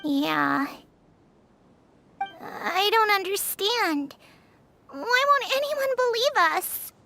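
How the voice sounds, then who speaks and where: Squeaky, bright little childlike creature. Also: female voice, animated, drawn-out words, gravelly, a little hiss or hum, full character voice. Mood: hurt, confused. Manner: earnest, innocent. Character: small, earnest, hopeful female Melusine creature. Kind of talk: video game character acting.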